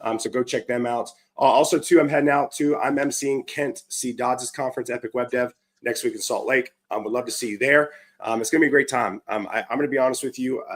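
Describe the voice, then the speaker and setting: Hoarse, chatty man hosting a stream. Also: mid-range voice. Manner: upbeat, chatty, many fillers and restarts. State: hoarse from a cold. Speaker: livestream show host. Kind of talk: casual live-stream chat.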